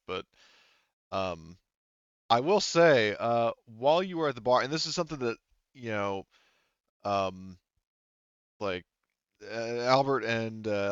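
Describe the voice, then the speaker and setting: A man acting as game master, improvising tabletop narration in a halting, casual way and often backtracking. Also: fairly low pitch, fairly bright tone, clear, resonant tone, no echo, highs cut off.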